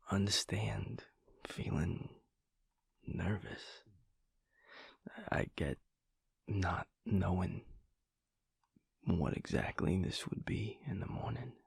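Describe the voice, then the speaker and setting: A man playing a flirty but tender werewolf in an intimate romantic audio roleplay, speaking in a husky, breathy murmur with a halting, teasing manner. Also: lowish voice, dry recording, exaggerated voice. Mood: gentle, understanding. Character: flirty, feisty male werewolf, tender and earnest underneath.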